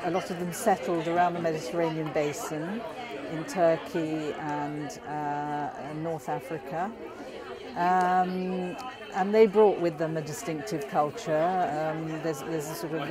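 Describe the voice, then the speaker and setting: Woman explaining stuff in an interview, slow n hesitant, really noisy crowd behind her. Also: fairly low pitch. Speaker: interviewee explaining community history. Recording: loud crowd chatter in busy hall. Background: crowd at a party.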